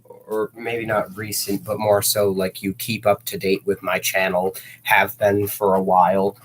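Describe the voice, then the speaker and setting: A man's voice: on the low side, level tone, measured pace, slight background noise, low-quality recording. A teenage boy chatting on a livestream, rambling and self-mocking with jokey asides. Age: teens.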